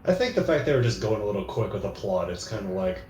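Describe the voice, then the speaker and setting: A man's voice: fairly low pitch, very animated, fast, a little mellow. A man giving his opinions casually as a panelist on a group reaction show. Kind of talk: casual review chat. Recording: roomy echo with faint noise.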